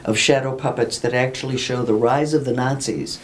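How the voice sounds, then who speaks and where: A woman hosting a casual radio interview, chatty and laughing easily, her voice unusually low for a woman. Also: fairly even intonation, normal speed, slight background noise. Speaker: radio show host.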